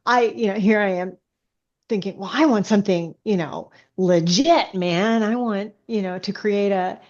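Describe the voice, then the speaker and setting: A woman's voice: medium pitch, a little slow, a little mellow, muffled recording. A woman speaking as an interviewed author, chatting informally and telling stories with frequent asides. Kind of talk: casual conversational interview.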